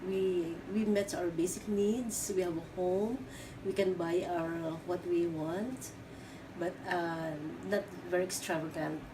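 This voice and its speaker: Brief: middle-aged woman from the Philippines, hesitant interview answers, recorded from a distance with clear background noise. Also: fairly low pitch. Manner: halting, many fillers and restarts. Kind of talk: oral history interview.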